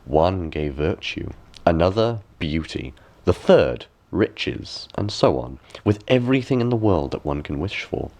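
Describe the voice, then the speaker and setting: A man narrating a bedtime fairy tale as a storyteller, with expressive, measured and deliberate phrasing. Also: sits low. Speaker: storyteller, audiobook reader.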